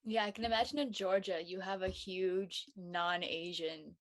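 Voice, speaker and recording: A woman's voice: lowish voice, fairly even intonation, moderate pace. A woman in casual conversation, emphatic and given to repeating her points. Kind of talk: casual chat.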